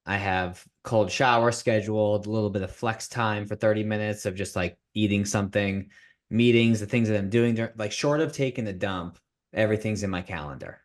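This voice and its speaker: Male podcast host, thirties, low voice, loose and jokey. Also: a little fast. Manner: candid, jokey, self-deprecating. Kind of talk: casual podcast chat.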